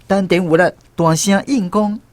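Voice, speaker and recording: A man's voice: high-pitched, fairly even intonation, normal speed, dry recording, clean studio sound. A man with a Taiwanese accent narrating a scripted first-person story as the narrator of a radio drama.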